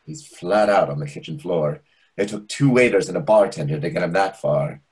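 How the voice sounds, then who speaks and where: A man's voice: low voice, moderate pace, darkish tone, slightly rough. A man in his thirties playing a dramatic, flustered, camp waiter in a play reading over a video call, indignant and arch. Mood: exasperated, arch. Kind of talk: readers theatre play reading.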